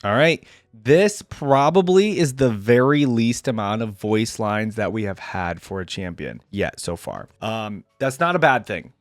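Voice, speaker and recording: A man's voice: on the low side, big pitch swings, unhurried, few pauses, no room sound, studio quality. A man hosting a casual video game reaction, rambling informally and talking straight to his viewers. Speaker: YouTube reaction host.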